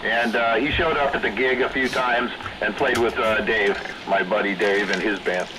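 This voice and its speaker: A middle-aged man in casual chat over CB radio, speaking in halting, hesitant phrases. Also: lowish voice, steady tone, over a noisy crowd. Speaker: CB radio operator. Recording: noisy CB radio transmission.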